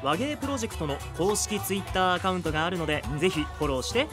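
A man hosts a radio show with a high voice that moves around a lot. He talks quickly and politely, in an upbeat presenting style, with music playing behind him. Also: sing-song. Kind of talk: upbeat hosting and chat.

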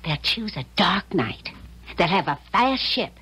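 A woman's voice: medium pitch, big pitch swings, measured pace, sharp tone, husky, full character voice. A woman playing a bold, resolute actress turned spy in a 1970s radio drama. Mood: earnest, resolute.

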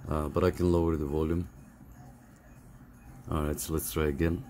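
A middle-aged man giving a casual, halting, step-by-step phone app tutorial over some background noise. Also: rumbling low, flat delivery, dark tone. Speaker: tutorial host.

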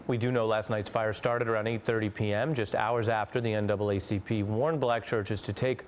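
Man, news anchor, studio, lapel mic, measured questions, slight background hiss. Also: lowish voice, fairly even intonation. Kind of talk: live cable news anchoring.

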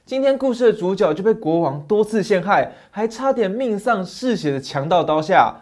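A middle-aged man narrating a fairy tale as a channel host, with a dramatic, animated manner, on a lapel mic in a small dim study. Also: high voice, normal speed. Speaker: storyteller host.

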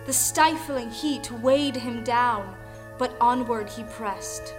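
A woman narrating a folktale audio play with deliberate, dramatic storytelling. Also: fairly high pitch. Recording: music under the voice.

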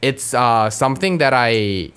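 A man's voice: medium pitch, expressive, measured pace, a bit bright, clear, resonant tone. A man co-hosting a podcast in casual chat, who stammers, restarts sentences and searches for words as he talks. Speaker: podcast co-host.

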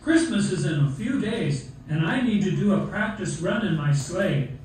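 A jolly old Santa puppet played by a man hosts a children's Christmas show in a jovial, high voice. It carries from far off across a very echoey hall. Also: animated, normal speed, a little mellow, a little hiss or hum. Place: theatre, behind puppet stage curtain. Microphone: far camera.

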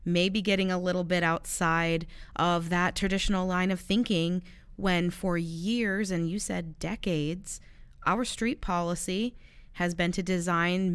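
Smooth, low-voiced woman discussing city policy. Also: no echo. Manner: earnest, articulate, conversational with occasional restarts. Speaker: city communications coordinator, co-host.

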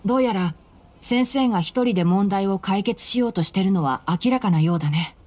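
A woman voicing a calm, clever young male forest ranger in a video game, composed and analytical. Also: mid-range voice, brisk, lightly acted. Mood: matter-of-fact.

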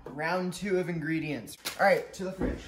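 A teenage boy hams up a fake cooking show, praising disgusting food with sarcastic glee. He is heard through a camera across the counter in a roomy home kitchen. Pots and utensils clatter loudly behind him. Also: fairly high pitch, normal speed, noisy background. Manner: sarcastic, jokey, playfully enthusiastic about gross food. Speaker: co-host cooking.

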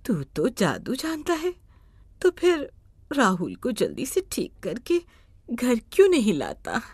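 A woman's voice: on the high side, huge pitch swings, slow pace, husky, no room sound, pitched above the performer's own voice, full character voice. A woman playing an anxious elderly mother. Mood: pleading. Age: older. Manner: fretful, questioning. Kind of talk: Hindi animated moral story acting.

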